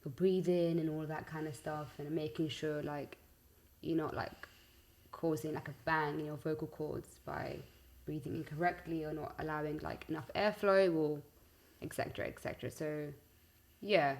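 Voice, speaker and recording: A young woman with a London accent and a fairly low voice. She talks in a loose, slangy, conversational style, spinning out anecdotes with a giggly, animated manner. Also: moderate pace, gravelly. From West London, UK. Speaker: singer-songwriter interview guest. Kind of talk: casual chat.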